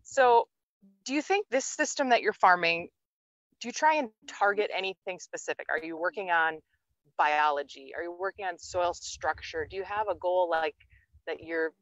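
A woman's voice: lowish voice, moderate pace, fairly bright tone, no echo, highs cut off. A woman hosting an outdoor farm interview, upbeat and summing up her guest's answers. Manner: upbeat, leading questions with recaps. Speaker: host, soil health interviewer.

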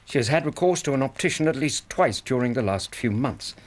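An actor playing a courteous, dryly patient English gentleman doctor with a husky voice in a radio drama. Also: medium pitch, animated, a little fast, natural voice. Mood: amused. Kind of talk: BBC radio drama acting.

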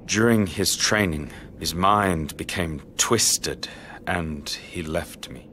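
An actor playing a grave, wise elven master in a video game, speaking in a solemn, measured way. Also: male voice, sits low, sharp tone, slight background noise, exaggerated voice. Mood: sorrowful, solemn. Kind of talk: dramatic video game acting.

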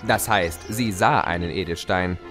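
A man's voice: low voice, normal speed, fairly bright tone, faint music behind. A man playing a sly, gloating spirit of chaos in a German audio drama, mocking and theatrical. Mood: smug narrating.

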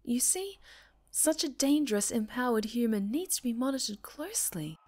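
A woman voicing an obsessive, possessive winged supervillainess in an ASMR roleplay, theatrical and breathy, often sinking into whispers, with menacing tenderness. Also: on the high side, lively intonation, normal speed, a little mellow, reverberant, pitched above the performer's own voice, close to an everyday voice. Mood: mocking, controlling.

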